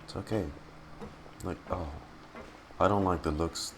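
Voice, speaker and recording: A man's voice: sits low, fairly even intonation, a little slow, noisy background. A man giving casual live commentary as he streams a game, reacting with murmured asides and exclamations. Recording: game music behind the voice. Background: video game music.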